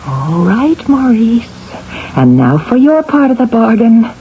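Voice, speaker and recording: An actress playing a discontented, ambitious, self-centred wife in an old-time radio mystery, coaxing and insistent in a theatrical way. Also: female voice, fairly high pitch, very animated, measured pace, mellow, slight background noise. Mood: insistent, selfish. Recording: muffled vintage radio recording with music.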